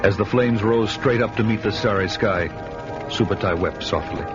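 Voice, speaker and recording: A grim barbarian warrior played by a man recounts his saga of vengeance in a lowish voice, solemn and deliberate. The radio drama sound is dull, with loud music behind him. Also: lightly acted. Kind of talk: dramatic first-person radio narration and acting.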